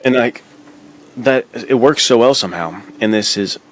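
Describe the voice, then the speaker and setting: A man's voice: medium pitch, normal speed. A man co-hosting a podcast, chatting casually about films in slangy, buddy-like talk full of "dude" and "like". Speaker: podcast co-host. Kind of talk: casual film chat.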